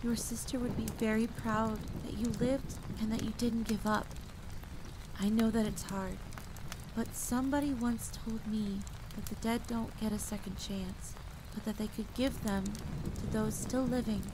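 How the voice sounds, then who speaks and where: A woman playing a kind, motherly field medic who comforts a child gently and reassuringly in an audio drama. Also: a little high, unhurried, natural voice. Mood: tender, consoling. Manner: gentle, reassuring, sweetly encouraging. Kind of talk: acted audio drama storytelling. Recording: steady rain noise behind the voice.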